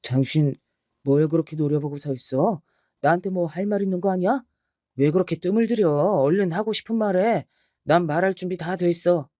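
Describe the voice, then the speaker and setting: A cold, unfaithful middle-aged husband, played by a man talks bluntly and defiantly, as if nothing can move him. His voice sits a bit high for a man, and the recording is dry and close. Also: brisk, dark tone, dry recording, lightly acted. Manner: blunt, defiant, matter-of-fact.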